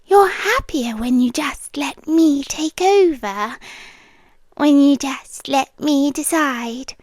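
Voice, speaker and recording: A woman's voice: high-pitched, slow pace, no echo. A British woman playing a possessive, sweetly menacing landlady girlfriend in an intimate ASMR roleplay, coaxing and controlling, often dropping into whispers. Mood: sweetly domineering.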